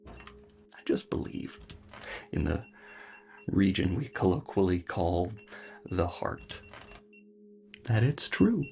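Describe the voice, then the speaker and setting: An actor playing an earnest, melancholy scientist who speaks haltingly and formally in a science fiction audio drama. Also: male voice, low-pitched, animated, creaky, a little mellow, full character voice. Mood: earnest, confessional. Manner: halting, deliberate, formal wording. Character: male scientist, earnest, melancholy, cerebral, given to theorizing.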